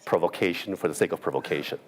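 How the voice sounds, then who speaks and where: A man with an American accent speaking as a panelist, deliberate and often asking "right?" between points. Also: deep voice. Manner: deliberate, clears throat, asks "right?" often. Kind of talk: panel discussion remarks.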